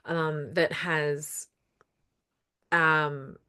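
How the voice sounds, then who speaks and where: A woman with a somewhat low-pitched voice talks straight to her viewers, casual but earnest. She leans on repeated words to drive points home and sounds reassuring. A slight lingering cough from recent throat trouble stays with her. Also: steady tone, drawn-out words, pushed and bright, clean tone. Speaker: numerology channel host. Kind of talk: casual solo talk to camera.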